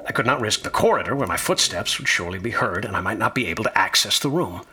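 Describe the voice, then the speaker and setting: An actor playing an anxious, bookish traveler, narrating his ordeal in a radio drama with formal, uneasy wording and a husky voice. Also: on the low side, animated, quick pace, pushed and bright, a little hiss or hum. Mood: tense, fearful. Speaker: narrator and lead character. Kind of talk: scripted first-person radio drama narration.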